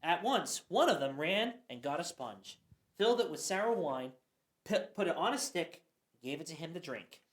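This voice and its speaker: A man's voice: on the high side, very animated, moderate pace. A man narrating scripture for a church radio play, reading earnestly and sometimes stumbling. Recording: echoey room.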